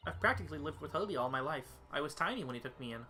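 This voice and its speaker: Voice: medium pitch, expressive, racing, pushed and bright, soft background music. A performer voicing a precocious, cheerful young girl in a fan dub of a video game, chatty and innocent. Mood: confiding. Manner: chatty, childlike, innocent phrasing. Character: precocious, cheerful young girl storyteller, proud of her father.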